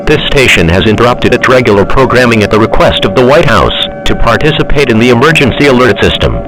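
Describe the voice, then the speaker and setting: A man's voice: mid-range voice, rough recording. A man delivering a scripted emergency broadcast announcement in a formal, official manner.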